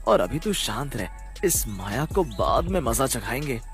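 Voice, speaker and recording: A man voicing a cocky, spoiled high-school student in a cartoon story, taunting and swaggering. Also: sing-song, unhurried, faint music behind. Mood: arrogant, defiant. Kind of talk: animated story character acting.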